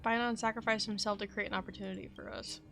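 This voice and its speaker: A woman's voice: mid-range voice, fairly even intonation, quick pace, gravelly, fairly bright tone. A woman game streamer giving casual reaction commentary as she plays. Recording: game music faintly behind her.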